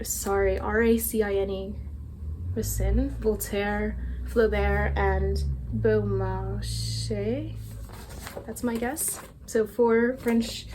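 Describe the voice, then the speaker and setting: A woman's voice: medium pitch, darkish tone, low-quality recording. Young female book vlogger, casual and rambling, self-deprecating, faint room noise. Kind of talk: casual chat.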